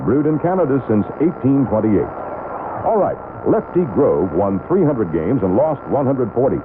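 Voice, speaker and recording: A man's voice: medium pitch, big pitch swings, moderate pace. A man reading a scripted radio quiz and sponsor spot as an announcer. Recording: tinny, noisy old radio broadcast.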